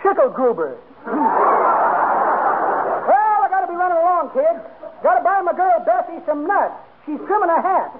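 A very high, swooping old codger, folksy and full of jokes. He speaks in 1940s radio comedy style on a scratchy, thin-sounding broadcast. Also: sing-song, moderate pace, long pauses, phone-quality sound. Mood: folksy, joking. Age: older. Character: cackling, joking old man, a neighborly old-timer.

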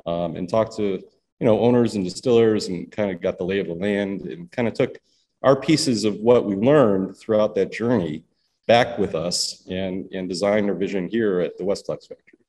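A man who co-owns a distillery, speaking haltingly with many ums in a casual interview. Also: deep voice, a little mellow, poor audio. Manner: halting, full of ums and uhs. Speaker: distillery co-owner. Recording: faint background noise.

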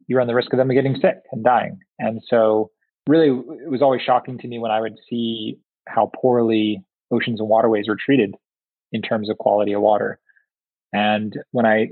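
A company founder from Singapore speaks in a low, fairly monotone voice. He talks haltingly, restarting words and filling pauses. The sound is thin and phone-like, with no room echo. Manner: halting, with many repeated words and fillers. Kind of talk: conversational interview answers. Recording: tinny phone-like line.

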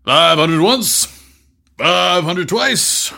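A man voicing a forceful auctioneer in an audio story, calling out in loud, drawn-out barks. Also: sits high, expressive, vocal fry, pitched above the performer's own voice, heavily acted. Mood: commanding. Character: barking, forceful male auctioneer.

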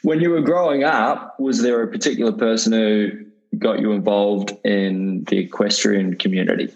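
Low-voiced enthusiastic podcast host man. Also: steady tone, gravelly, highs cut off. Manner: enthusiastic, halting with fillers and restarts. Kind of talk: casual interview chat.

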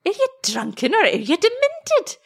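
A woman's voice: extremely high pitch, wildly swooping pitch, moderate pace, vocal fry, voice pushed up, full character voice. A woman voicing an anxious, scolding old shepherd's wife in a story, with a Scottish accent and fretful, exclamatory speech. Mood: alarmed, scolding.

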